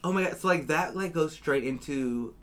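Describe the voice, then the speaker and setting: A woman's voice: low voice, normal speed. A woman in her twenties from Downey with a Southern California accent, hosting a casual chat in a gushing, slangy way. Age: 20s. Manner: gushing, slangy, frequent "like" and "you guys". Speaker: podcast host.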